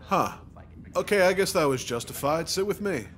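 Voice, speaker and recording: A man's voice: high-pitched, expressive, moderate pace, a bit bright. A man voicing an awkward, easily flustered teenage boy in a visual novel, mild and hesitant in his delivery.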